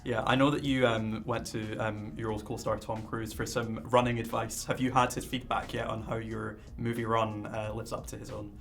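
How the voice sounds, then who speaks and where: A man's voice: lowish voice, little pitch movement, normal speed, creaky, hardly any pauses, a bit bright, faint music behind. A man interviewing guests for the press, asking his questions with hesitations and filler words.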